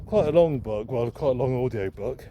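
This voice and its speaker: Casting: male vlogger, mid-pitched, hesitant and unscripted, musing aloud outdoors with clear traffic noise behind. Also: expressive, moderate pace, highs cut off. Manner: rambling, hesitant, thinking aloud. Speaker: second-hand bookseller and vlogger. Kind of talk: casual unscripted vlog musing. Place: outdoors, walking along a town street. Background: street ambience and vehicles.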